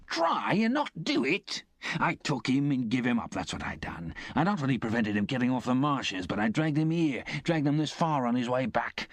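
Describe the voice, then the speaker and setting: A man's voice: mid-range voice, sing-song, no echo, voice pushed up. A man voicing a vengeful escaped convict, fierce and disdainful, with speech. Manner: fierce, disdainful, drawn-out raised delivery.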